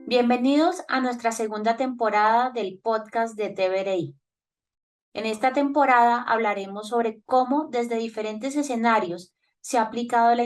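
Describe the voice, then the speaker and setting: A woman hosting a podcast, reading a clear and measured welcome to her listeners. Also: a little high, distant mic. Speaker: podcast host.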